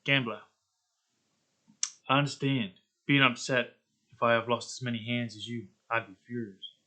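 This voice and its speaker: A rough, tough Western gambler acted by a man, quietly menacing. He speaks slowly and deliberately, holding his threat back at a medium-to-low pitch. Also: fairly even intonation, close to an everyday voice. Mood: low menace. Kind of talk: Western character script reading.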